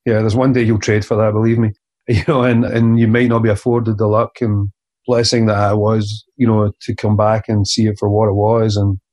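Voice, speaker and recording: A man, an author being interviewed, speaking earnestly and haltingly with many restarts. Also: fairly low pitch, level tone, a little mellow. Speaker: author guest. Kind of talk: reflective interview conversation.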